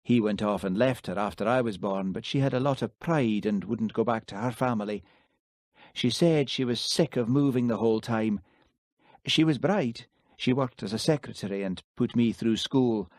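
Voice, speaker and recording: A guarded young Highland woman, quiet and evasive, her voice lifted well above a man's usual pitch, as a male narrator puts her on. The reading is dry and close, with no room sound. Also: moderate pace, no echo, close to an everyday voice.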